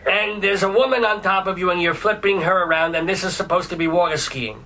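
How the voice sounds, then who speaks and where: A man's voice: high-pitched, a little fast, bright, forceful tone, poor audio. A man hosting a talk radio interview, blunt and incredulous, firing wisecracks and questions at his guest. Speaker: radio host.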